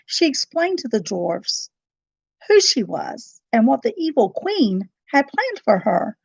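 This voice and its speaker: A woman narrating a children's storybook in an expressive, dramatic and deliberate style. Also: high voice, gravelly, husky, no echo.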